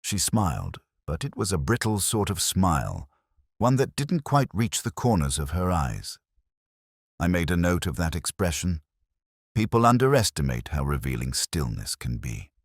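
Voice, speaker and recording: Brooding detective narrator played by a man: very deep, expressive intonation, measured and reflective, close dry sound, audio mystery drama. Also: no room sound, exaggerated voice. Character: brooding, observant male police detective narrating his case. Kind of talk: scripted first-person mystery narration.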